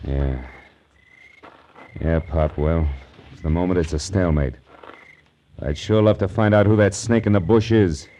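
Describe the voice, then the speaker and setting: An actor playing a tough, wry private detective with a clipped, commanding delivery, in 1940s radio drama style. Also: male voice, low voice, measured pace, dark tone. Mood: grim, wry. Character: tough, wry hard-boiled private detective in his prime. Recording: noisy vintage radio recording.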